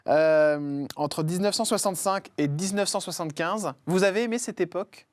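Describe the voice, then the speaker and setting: A man's voice: sits high, normal speed, no echo. A man hosting a studio interview, asking probing questions and giving short acknowledgements. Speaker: talk show host. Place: studio set.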